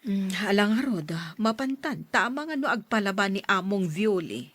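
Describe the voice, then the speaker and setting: A woman's voice: medium pitch, lively intonation, moderate pace, a bit bright, no room sound. A woman playing an obliging, inquisitive worker's wife and mother in an audio drama, with a deferential manner. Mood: curious.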